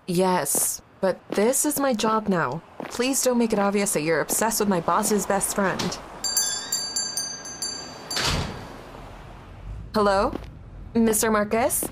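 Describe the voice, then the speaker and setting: Audio drama: level-headed, exasperated young woman played by an actress, slow dry cautioning delivery, clear background noise. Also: medium pitch, lightly acted. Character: sensible, dry, exasperated friend who bakes at a cafe. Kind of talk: naturalistic audio drama acting.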